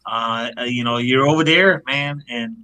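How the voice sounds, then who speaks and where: A man's voice: medium pitch, normal speed, sharp tone. Laid-back man hosting a comic stream. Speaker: host of a comic book live stream. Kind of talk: casual live stream panel chat.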